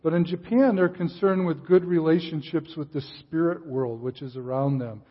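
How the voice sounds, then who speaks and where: Older man preaching in a hall. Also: a little high. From Chicago area, USA. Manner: relaxed, frequent uh fillers and restarts. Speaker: visiting missionary speaker. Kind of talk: sermon with casual asides. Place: church sanctuary. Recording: distant hall reverb, dull sound.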